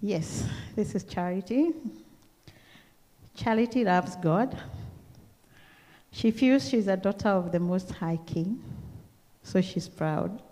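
A middle-aged woman with a Kenyan accent, preaching a church sermon in a slow, deliberate, earnest way. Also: mid-range voice, warm tone. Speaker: guest preacher. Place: large church hall. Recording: long church-hall reverb.

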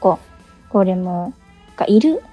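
A young woman in casual radio conversation as a co-host, attentive and quick to agree. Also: fairly low pitch, lively intonation, warm tone. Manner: attentive, frequent short agreeing responses. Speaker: radio co-host and stage actress. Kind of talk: casual radio chat about a theatre production. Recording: background music under voice. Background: music.

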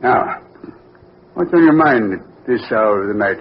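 A man's voice: fairly low pitch, expressive, measured pace. Fussy elderly rich man, old radio. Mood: cranky, lively. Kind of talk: old-time radio drama acting. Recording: dull, noisy vintage radio recording.

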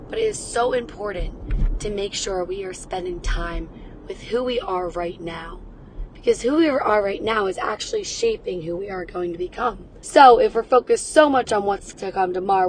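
Woman, slightly raspy, encouraging podcast host, mid pitch, recorded in a car, clear road noise. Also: poor audio. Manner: upbeat, encouraging. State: raspy voice from cheering. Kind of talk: wellness podcast, guided breathing.

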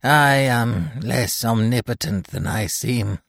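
Gloating monster dwarf, wildly swooping, very slow and drawn-out, archaic gothic villain. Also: male voice, mid-range voice, wildly swooping pitch, dry recording, clean studio sound, pitched above the performer's own voice, heavily acted. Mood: feigned humility. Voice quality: screeching, gravelly. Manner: theatrical, drawn-out archaic speech. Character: gloating, mocking demonic dwarf monster, male.

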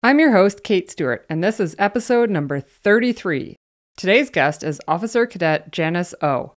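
A woman's voice: fairly low pitch, animated, normal speed. A woman hosting an interview podcast, upbeat and eager, reading a scripted intro and then chatting with her guest. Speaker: podcast host.

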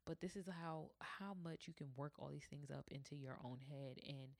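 Deep-voiced woman, candid podcast talk. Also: moderate pace, gravelly, dry recording. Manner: candid, conversational, acts out quoted dialogue. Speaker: podcast host. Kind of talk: personal storytelling monologue.

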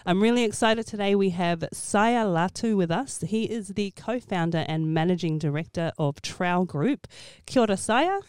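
A man's voice: high-pitched, normal speed, hardly any pauses, smooth, dry recording, clean studio sound. A man hosting an interview podcast, upbeat and teasing his guest in a friendly way. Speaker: podcast host. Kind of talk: casual interview chat.

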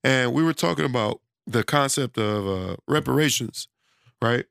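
Gravelly-voiced man losing his voice. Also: medium pitch, moderate pace, fairly bright tone, no echo, studio quality. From United States. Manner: loose, rambling, conversational, with many restarts and fillers. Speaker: self-employed studio owner. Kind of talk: casual monologue.

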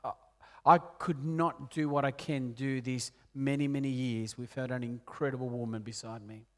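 A man in his late forties from Peru, preaching a sermon in an earnest way, rising loud on key points and dropping soft and low. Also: fairly even intonation, moderate pace. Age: 40s. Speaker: pastor.

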